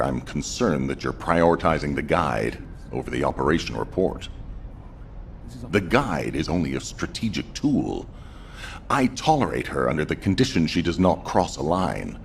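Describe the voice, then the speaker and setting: A distrustful military commander speaks with authority in a video game. His voice is extremely deep and creaky, rising and falling as he admonishes, with faint noise behind it. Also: full character voice. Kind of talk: video game scripted dialogue.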